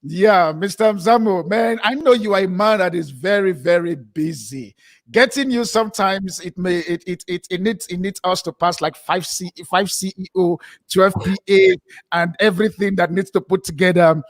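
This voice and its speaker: A man's voice: high voice, normal speed, dry recording. A man hosting a live online interview, informal and stumbling, often repeating words as he searches for what to say. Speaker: host.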